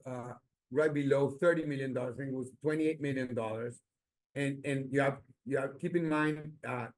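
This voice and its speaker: A male company executive answering questions off the cuff on an earnings call, halting and often repeating his words. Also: medium pitch, a little mellow.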